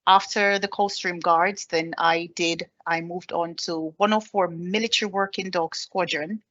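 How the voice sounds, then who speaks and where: A woman's voice: on the low side, steady tone, measured pace, a bit bright, dull audio. A woman in her thirties with a Jamaican accent, giving a personal presentation as an army staff sergeant, speaking plainly with many ums. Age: 30s. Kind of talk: presentation with slides.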